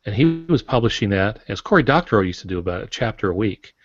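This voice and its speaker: Low-voiced man talking shop, dull audio. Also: expressive, fast talker. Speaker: guest author on a publishing podcast. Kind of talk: conversational explanation. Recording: roomy, dull lo-fi podcast audio.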